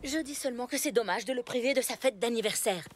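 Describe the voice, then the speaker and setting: Woman as a firm, higher-voiced cartoon mother. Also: fast, a little hiss or hum, lightly acted. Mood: persuasive. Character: protective, firm mother in a prehistoric village. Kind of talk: French cartoon dub acting.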